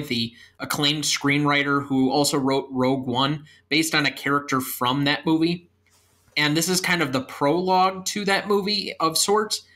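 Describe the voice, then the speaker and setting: Bright-voiced young man hosting a podcast. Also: on the high side. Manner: earnest and explanatory, then brisk read-out. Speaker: podcast host. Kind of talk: casual podcast chat and scripted outro.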